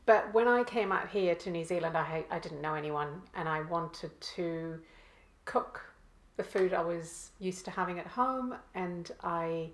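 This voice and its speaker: A woman from Malawi, a guest author, answering interview questions hesitantly with many pauses and restarts. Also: fairly low pitch. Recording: echoey room.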